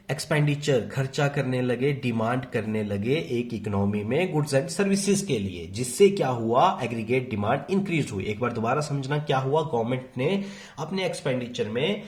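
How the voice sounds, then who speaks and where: An echoey recording carries the medium-pitched voice of a man with an Indian accent. He teaches in an explanatory exam-lesson style, switching between Hindi and English. He restates key phrases and puts short questions to the learner, then answers them himself. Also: lively intonation, unhurried, a little mellow. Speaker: economics teacher. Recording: echoey, reverberant room sound.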